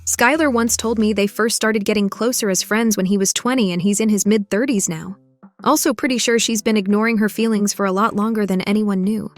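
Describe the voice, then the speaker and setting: A woman playing a composed, protective friend in an animated comedy, with an earnest, crisp way of talking. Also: mid-range voice, fairly quick, soft background music, natural voice. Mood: knowing, confiding.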